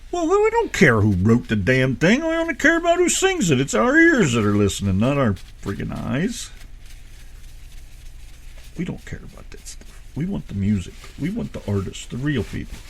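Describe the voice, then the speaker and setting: An American man co-hosting a radio show, chatting casually and joking, his voice jumping high and low. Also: wildly swooping pitch, moderate pace. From United States. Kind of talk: casual banter.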